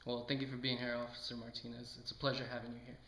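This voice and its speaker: A man's voice: mid-range voice, completely flat delivery, rapid-fire, noisy background. A man calling takes and presenting scripted interview lines in an amateur skit, with slips. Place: indoor room, amateur student video. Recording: echoey room with chatter behind.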